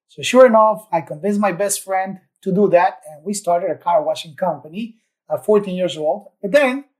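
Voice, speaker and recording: A man from Ecuador, a podcast guest, telling his story in an upbeat, enthusiastic way. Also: sits high. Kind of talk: casual interview chat.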